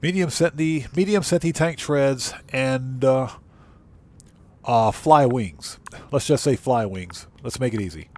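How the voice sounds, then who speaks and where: A man's voice: mid-range voice, measured pace, a little mellow. A man livestreaming a video game, giving casual commentary and muttering to himself as he plays. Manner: muttering to himself while playing.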